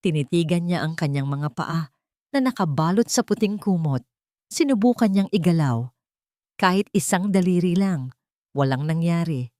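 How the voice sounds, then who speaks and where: A woman's voice: lowish voice, expressive, normal speed, mellow, no echo, studio quality. A woman storyteller reading a scripted audiobook in a dramatic narrative style.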